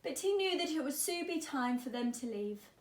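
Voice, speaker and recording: A woman teacher reading a children's story aloud, expressively and deliberately, drawing out words. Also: high-pitched, lively intonation, echoey room.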